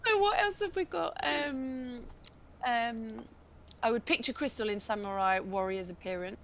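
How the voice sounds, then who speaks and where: Chatty woman, husky tone, loose and rambling, casual Q&A co-host. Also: fairly high pitch, a little slow, fairly bright tone, slight background noise. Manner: chatty, rambling, laughs often. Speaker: voice actress and co-host. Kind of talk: casual chat and Q&A.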